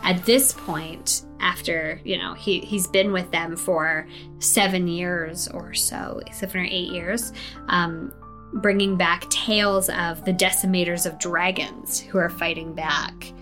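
Slow-talking, bright-voiced woman player. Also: fairly low pitch, fairly even intonation, soft background music. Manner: unhurried, hesitant, many ums. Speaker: tabletop game player. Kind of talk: casual in-game backstory telling.

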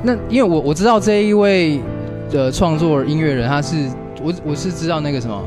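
A man's voice: on the high side, a little fast. A man with a Taiwanese Mandarin accent hosting a casual radio show, chatty but hesitant, often repeating himself. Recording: music playing behind the voice. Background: music.

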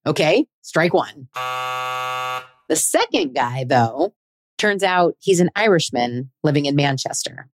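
A woman's voice: deep voice, very animated, slow, no room sound. A woman in her forties hosting a podcast, telling her own dating story in a candid, chatty, self-deprecating way. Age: 40s. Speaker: podcast host. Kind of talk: scripted personal narration.

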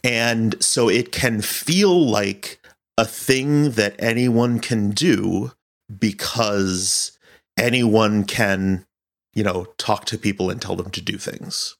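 Male interviewee, lower voice, unhurried and halting, reflective conversational delivery. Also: gravelly. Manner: halting, thinking aloud in broken phrases. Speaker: podcast director and producer being interviewed.

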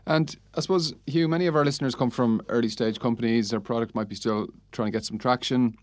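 A man's voice: mid-range voice, fast talker, no room sound. A man hosting a podcast interview, putting questions in a conversational way.

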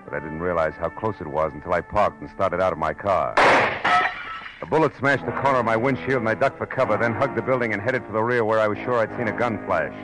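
A hard-boiled American private eye, played by a male actor, speaks low in a tough, clipped, unflappable way. It is vintage radio detective drama, with music behind the voice. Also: fast, natural voice, rough recording. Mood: tense narration. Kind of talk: 1940s radio detective acting, first-person narration.

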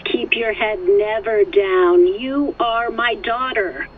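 An actress playing a controlling, narcissistic middle-aged mother in an audio drama, speaking in domineering commands through a phone filter. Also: medium pitch. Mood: imperious. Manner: domineering, deliberate, curt commands. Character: controlling, narcissistic wealthy mother, imperious and needling. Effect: phone call filter. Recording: muffled phone line with background noise.

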